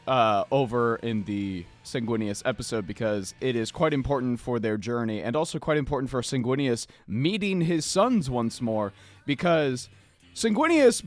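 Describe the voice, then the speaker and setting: A man with a slightly hoarse voice, hosting a casual livestream where he paints miniatures and chats playfully with viewers. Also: medium pitch. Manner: playful, chatty, addresses chat directly. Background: faint background music.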